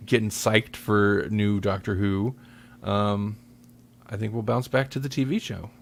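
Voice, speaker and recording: Low-voiced man chatting on a podcast. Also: fairly even intonation, a little slow, vocal fry, a little hiss or hum. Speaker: podcast host. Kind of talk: casual podcast chat.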